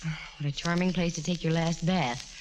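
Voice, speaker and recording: Through clear hiss on a 1949 radio recording comes an actress as a wisecracking female private eye with a fairly low voice. She is confident and flirtatious, tossing off wry, teasing lines in classic radio-play style. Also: steady tone, fairly quick, natural voice. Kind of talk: 1940s radio drama acting.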